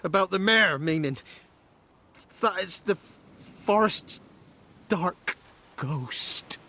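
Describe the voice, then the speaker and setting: A cowed fantasy game villager, with a high voice and slow, halting delivery. He stammers nervously and sounds rustic and deferential. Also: expressive, bright, forceful tone, exaggerated voice. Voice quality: heavy stammer. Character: frightened, deferential stammering peasant. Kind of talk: video game voice acting.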